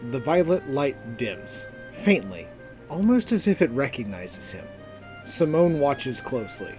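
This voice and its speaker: A man narrating a scripted fantasy story in a measured, deliberate, dramatic manner. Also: mid-range voice, a little mellow. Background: background music.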